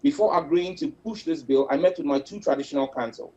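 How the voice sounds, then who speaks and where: Brief: Ghanaian-accented male lawmaker, mellow tone, formal and insistent, dull lo-fi clip sound. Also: on the high side, a little fast. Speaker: legislator in a TV interview. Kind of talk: formal interview answers.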